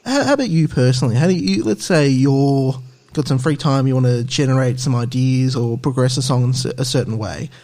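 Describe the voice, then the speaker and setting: A man's voice: mid-range voice, brisk, a little mellow. A man hosting a podcast, asking rambling questions with restarts in a casual interview. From Australia. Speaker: podcast host.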